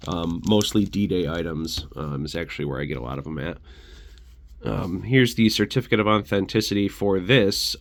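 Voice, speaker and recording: A man's voice: deep voice, fairly bright tone. A man with a congested, sick voice giving a casual, rambling show-and-tell as host of a collection channel.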